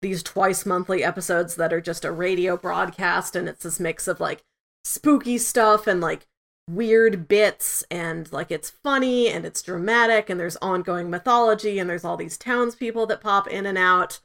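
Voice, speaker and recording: A woman's voice: fairly low pitch, normal speed, gravelly, fairly bright tone. A woman co-hosting a review podcast in a casual, conversational way, peppering her sentences with "like". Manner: conversational, frequent "like" asides. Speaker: podcast co-host.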